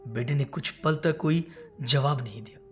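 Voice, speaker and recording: A woman's voice: extremely low pitch, normal speed, soft background music. A woman narrating a scripted, emotional moral story as a storyteller.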